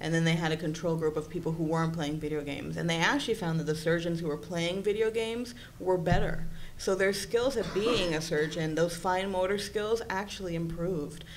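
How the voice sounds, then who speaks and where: A woman from Puerto Rico, Spanish her first language, explains things earnestly at a panel table. Her voice sits on the low side, and a faint background hiss from the conference room hangs under it. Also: fairly even intonation, normal speed, few pauses, low-quality recording. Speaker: panel speaker, psychology doctoral candidate. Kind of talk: convention panel talk. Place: hotel conference room with audience.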